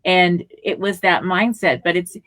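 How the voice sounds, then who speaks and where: A woman radio host talks slowly and deliberately in a slightly low voice. The sound is dry and close, with no room echo, and she backs up her guest with warm affirmations. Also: steady tone. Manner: slow, affirming, encouraging. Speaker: radio talk show host. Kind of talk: casual interview chat.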